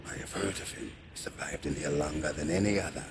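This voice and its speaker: Weary camp prisoner, low voice and broken English, noisy game audio. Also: male voice, a little fast. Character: weary male prison camp inmate, kind. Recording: game audio with clear background noise.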